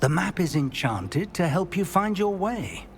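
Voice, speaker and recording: An actor playing a courteous older British wizard professor in a video game, speaking in a formal, measured, articulate way. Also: male voice, high-pitched, sing-song, music in the background, heavily acted. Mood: courteous, instructive. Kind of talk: video game cutscene acting.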